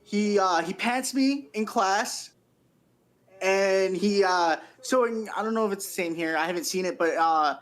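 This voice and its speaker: A man from Canada talks in a loose, casual podcast style. He tells stories with plenty of swearing and an easy readiness to laugh, at a middling pitch for the conversation. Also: unhurried, fairly bright tone. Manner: laughs often while telling stories. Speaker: podcast speaker. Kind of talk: casual chat, swearing anecdotes.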